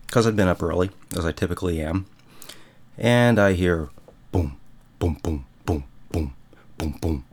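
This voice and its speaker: Man, very low pitch, rough phlegmy throat, folksy rambling storyteller, podcast host. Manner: rambling, self-mocking, folksy. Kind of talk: casual storytelling monologue.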